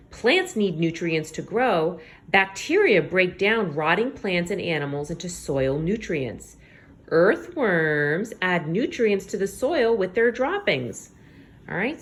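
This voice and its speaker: Lady teacher doing a read-aloud for little kids, lowish voice, explains stuff simply, some noise behind her. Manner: expressive, explaining simply and checking in with listeners. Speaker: elementary school teacher. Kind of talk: read-aloud lesson for young children, reading with commentary.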